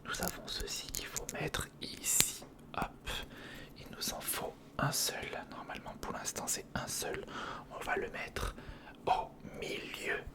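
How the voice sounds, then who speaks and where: An ASMR creator whispering casual step-by-step commentary on a hands-on build, murmuring directly to the listener. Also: slow.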